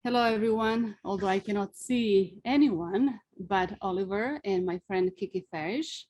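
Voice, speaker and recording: A woman in her forties from Serbia with a Serbian accent, a sculptor giving a careful, unhurried webinar presentation with frequent fillers, speaking outdoors. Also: mid-range voice, a little mellow, slight background noise.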